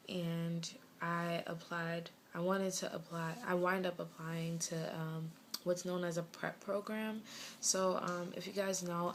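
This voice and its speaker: Young woman vlogger with a faint West Indian-tinged New York accent, a slightly low voice, rambling through updates in her bedroom. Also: slight background noise. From Brooklyn, New York. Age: 20s. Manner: rambling, chatty, lots of fillers and self-corrections. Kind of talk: casual chat to camera. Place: bedroom at home.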